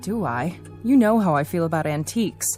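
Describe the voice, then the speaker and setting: An actress playing a chatty, eager next-door neighbor in a radio drama, breezy and gushing. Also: female voice, fairly low pitch, animated, fairly quick, over music, close to an everyday voice. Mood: eager, delighted.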